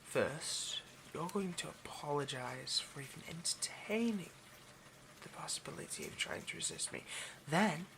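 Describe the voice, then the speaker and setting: A possessive, wealthy male vampire, acted by a man, speaks slowly with a gravelly creak. He is condescending and domineering, hushed and often whispering, as in an intimate ASMR roleplay. There is clear noise in the recording. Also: on the high side, lively intonation. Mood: menacing, commanding.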